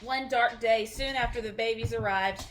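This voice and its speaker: High-pitched woman reading a children's story. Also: fairly even intonation, normal speed, a bit bright, slight background noise. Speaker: library storytime host reading aloud. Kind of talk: read-aloud children's storybook with brief chatty asides.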